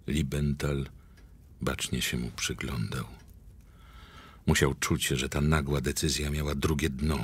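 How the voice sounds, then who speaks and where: A man performing as the grave narrator of a dark mystery audio drama, reading scripted literary prose. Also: extremely low pitch, fairly even intonation, unhurried, pauses often, slightly rough, exaggerated voice. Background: loud music.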